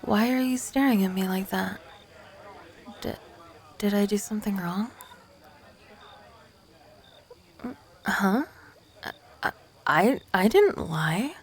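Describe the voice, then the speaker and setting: Woman playing an awkward, stammering, gravelly-edged ghost girl. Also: mid-range voice, lively intonation, unhurried, pauses often. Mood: flustered, apologetic. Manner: stammering, flustered, defensive. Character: awkward, nervous young female ghost hiding a guilty secret. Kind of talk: ASMR roleplay acting.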